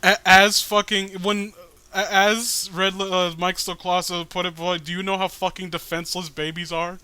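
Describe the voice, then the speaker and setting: A man's voice: high-pitched, little pitch movement, normal speed, bright, forceful tone, smooth. A man riffing casually as he hosts a comic read-along, profane, emphatic and argumentative. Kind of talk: casual riffing commentary with read-aloud dialogue.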